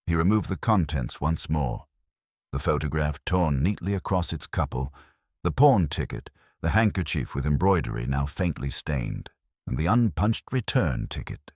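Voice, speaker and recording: A man with an extremely low voice narrates a literary mystery. His delivery is measured and wry, with plenty of melodic movement. The sound is dry and close. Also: expressive, darkish tone, no echo. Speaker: narrator of a mystery audio drama.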